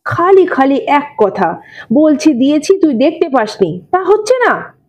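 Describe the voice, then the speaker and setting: An evasive father in an audio story, acted by a woman reader, talking in a raised pitch. He blusters and cajoles with rhetorical questions, brushing off his child, on a slightly dull recording. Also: big pitch swings, measured pace, darkish tone. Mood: irritated scolding.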